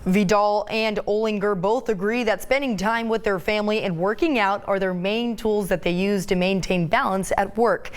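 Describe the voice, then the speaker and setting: A woman's voice: mid-range voice, fairly even intonation, normal speed, few pauses, smooth. An American woman giving a scripted live news report from a television studio.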